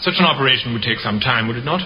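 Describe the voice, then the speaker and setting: A man's voice: medium pitch, expressive, very fast, lightly acted. Male actor as courtroom defence lawyer, methodical, formal, insistent, old radio drama, dull noisy recording. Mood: probing, insistent. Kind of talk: 1950s radio acting.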